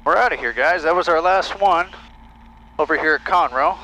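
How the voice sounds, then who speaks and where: A man's voice: a little high, normal speed, rough recording. A man flying a small plane, talking himself through it in short, clipped phrases with casual cockpit commentary and radio calls. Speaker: private pilot. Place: small aircraft cockpit in flight. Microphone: aviation headset. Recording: steady engine noise in cockpit. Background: aircraft engine drone.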